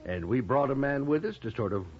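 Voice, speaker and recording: An animated male voice of an actor as a crooked frontier gambler in a vintage radio western. He is cocky, taunting and pushy, his pitch jumping about. The sound is dull and old. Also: fairly quick, natural voice. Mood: gloating. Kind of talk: 1950s radio western acting. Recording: muffled old radio recording.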